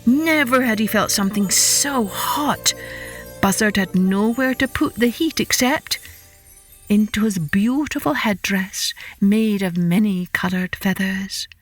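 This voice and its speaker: Slow-paced woman storyteller for children. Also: medium pitch, faint music behind. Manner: dramatic, deliberate, with repetition for effect. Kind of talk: expressive scripted storytelling for children.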